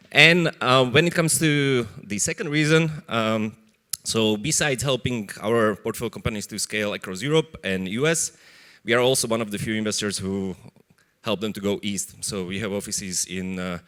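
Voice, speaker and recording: A man from Slovakia with a Slovak accent, giving an informal stage pitch as a venture capital investor. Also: a bit bright, clear, resonant tone.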